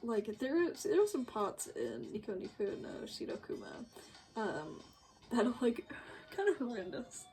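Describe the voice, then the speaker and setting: A woman's voice: fairly high pitch, very animated, unhurried, darkish tone. A woman chatting casually and rambling as she works, giggly and self-deprecating. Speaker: streamer making visual novel artwork. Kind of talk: casual chat while working. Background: music playing behind the voice.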